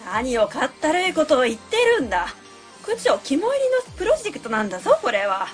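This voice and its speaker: A woman's voice: high voice, sing-song, quick pace, soft background music. A woman playing a haughty, scornful academic adviser who barks orders in a comic radio drama. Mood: impatient, commanding. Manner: haughty, commanding.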